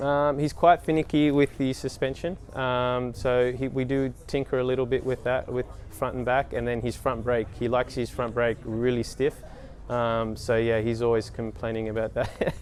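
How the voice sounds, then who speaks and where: Man, 30s, race mechanic, casual interview, hesitant, monotone. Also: mid-range voice, moderate pace. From Australia. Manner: hesitant, many ums and restarts.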